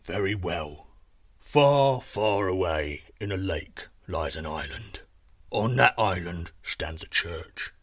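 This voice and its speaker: A monstrous storybook giant, very low and booming, dragging out his words. He is suspicious and gullible, with a soft spot under the menace, and the sound is dry and close. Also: lively intonation, husky, no room sound, full character voice. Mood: slow, confiding. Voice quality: deep, booming. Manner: slow, heavy delivery.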